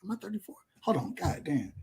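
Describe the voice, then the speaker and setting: Man, 30s, Georgia Southern accent, slightly high voice, emphatic casual podcast talk, repeats himself. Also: sing-song, fairly quick, darkish tone, husky. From rural Georgia, USA. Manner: emphatic, repeats phrases, slangy and profane. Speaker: podcast speaker. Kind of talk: casual chat.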